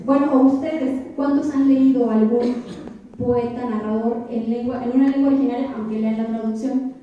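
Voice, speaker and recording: A woman's voice: on the high side, fairly even intonation, moderate pace, far from the mic, dull audio. Young woman lecturing in an echoey hall. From Oaxaca, Mexico. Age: 20s. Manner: reflective, addresses the audience with questions; frequent hesitation fillers. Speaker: poet giving a keynote lecture. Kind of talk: lecture to a university audience.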